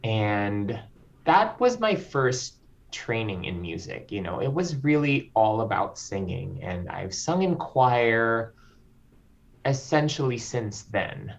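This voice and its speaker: A man from the Philippines, a composer guest speaking slowly and reflectively, with frequent ums. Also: on the low side, sing-song, gravelly. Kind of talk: interview conversation. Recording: slightly dull lo-fi audio.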